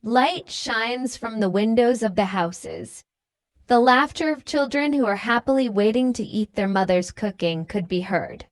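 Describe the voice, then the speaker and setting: A woman's voice: on the high side, expressive, measured pace, no echo. A woman narrating a scripted children's story as a storybook reader.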